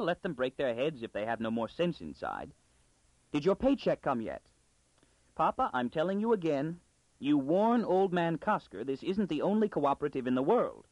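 Casting: bossy, motherly older wife done by a male reader, blunt folksy storybook scold, raised lively pitch. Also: no echo, low-quality recording. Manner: nagging, blunt, folksy inverted syntax.

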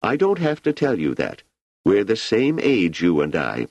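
An aging emperor, wry and imperious, drawling his questions with lazy amusement, voiced by a man reading a novel aloud. His voice sits a little higher than the narrator's deep one and moves widely. The sound is dry and close. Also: wildly swooping pitch, unhurried, a little mellow, dry recording, lo-fi sound, full character voice. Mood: wistful. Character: aging male emperor, idle and ironic.

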